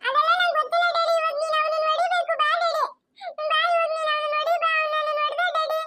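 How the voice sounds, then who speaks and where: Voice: extremely high pitch, flat delivery, racing, bright, forceful tone. A performer voicing a whiny little girl who tattles and begs her father to hit someone, tearful and complaining.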